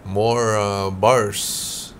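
Male vlogger from the Philippines, low voice, unhurried and halting, traffic noise all around. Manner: relaxed, halting, many fillers. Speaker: vlogger tour guide. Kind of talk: casual walking tour narration. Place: outdoors on city streets, then bus upper deck. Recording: street noise behind.

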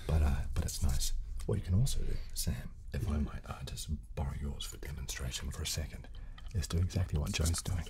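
A man in his thirties hosting a soft ASMR chat close on a desk microphone, hushed, halting and polite. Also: very deep voice, background noise. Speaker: host of an ASMR channel. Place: small furnished studio room, indoors. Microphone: close desk condenser microphone.

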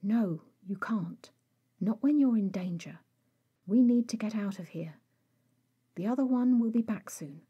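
A woman voicing an exasperated, protective male vampire in an audio story, scolding in a clipped, often hushed way. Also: medium pitch, animated, a little slow, pauses often, breathy edge, lo-fi sound. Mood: hushed, urgent.

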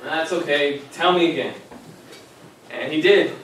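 A man's voice: fairly high pitch, unhurried, a bit bright. A young man playing a dutiful, exasperated grandson in a live comic stage play. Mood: exasperated, patient. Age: young adult. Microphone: distant camera microphone in the audience. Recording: very echoey, distant, noisy stage recording.

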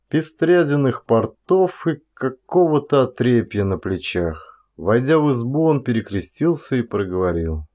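A man's voice: medium pitch, darkish tone. A man reading an audiobook as an actor, in dramatic scripted storytelling.

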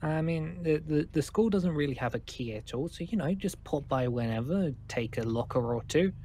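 A man's voice: on the high side, lively intonation, moderate pace, warm tone, slight background noise. A man playing a nervous, awkward but polite survivor in a Minecraft roleplay, hesitant and often stammering over repeated words.

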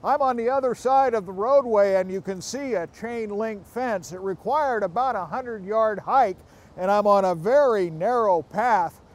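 A man's voice: high voice, a little slow. A middle-aged man hosting a travel show, narrating in an upbeat, folksy way, sometimes on camera outdoors. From Oregon. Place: outdoors in woods and by a roadside.

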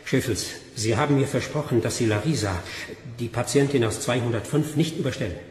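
Male actor as troubled doctor, mid-pitched, urgent, protective, sci-fi radio play, slight background noise. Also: brisk, a little mellow, lightly acted. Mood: urgent, confrontational. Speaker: actor in a sci-fi audio drama. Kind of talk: dramatic radio play acting.

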